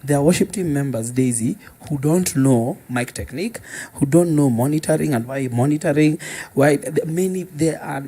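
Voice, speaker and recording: A man's voice: fairly high pitch, moderate pace, hardly any pauses, mellow, breathy edge. A man with a Kenyan accent chatting casually as a podcast guest, explaining his points emphatically.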